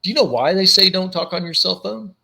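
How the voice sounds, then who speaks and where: Male podcast host, bright, forceful voice, lively storyteller. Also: brisk, creaky. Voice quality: low commanding voice. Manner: animated storyteller, acts out voices. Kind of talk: casual storytelling chat.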